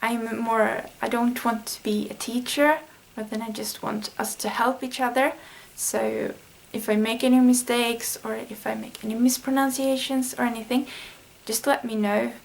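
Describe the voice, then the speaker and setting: Swedish woman, native speaker of Swedish, earnest lesson vlogger; light-pitched, steady, little melody. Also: normal speed, gravelly, few pauses, rough recording. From Sweden. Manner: earnest, thinking aloud with small fillers. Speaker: language learning vlogger. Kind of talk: casual explanatory vlog lesson.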